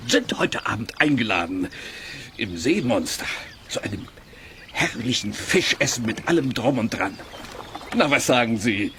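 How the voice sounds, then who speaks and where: A man's voice: medium pitch, very animated, unhurried, a bit bright, slight background noise. A man playing a sheepish, scheming but genial innkeeper in a children's audio play, hesitant and wheedling. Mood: conspiratorial, genial. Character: sheepish, scheming male innkeeper, jovially persuasive. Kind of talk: German children's radio play acting.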